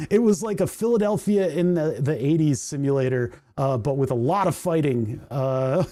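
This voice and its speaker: Livestream host, male, fairly high voice, mellow tone, rambling and chatty, a bit hoarse. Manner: rambling, chatty, laughs often, reads chat aloud. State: voice starting to go hoarse. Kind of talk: casual livestream chat while coding.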